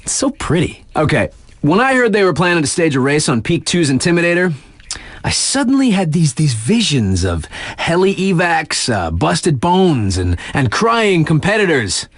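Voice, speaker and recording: An actor playing a hyped but laid-back radio DJ in a snowboarding video game, chatting enthusiastically in casual slang about events. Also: male voice, fairly high pitch, sing-song, moderate pace, no room sound. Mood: amused, hyped.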